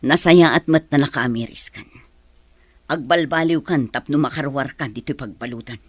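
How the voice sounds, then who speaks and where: Lady acting as wounded forgiving woman, radio drama, husky breathy voice, close dry sound. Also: a bass voice, moderate pace, no room sound. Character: sorrowful, reproachful but forgiving woman.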